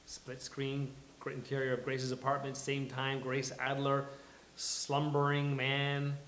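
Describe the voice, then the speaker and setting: A man hosting a live table read, announcing and reading stage directions in a halting, deliberate way. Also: medium pitch, completely flat delivery, a bit bright, a little hiss or hum. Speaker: host and stage-direction reader. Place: live venue with audience. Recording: echoey live venue.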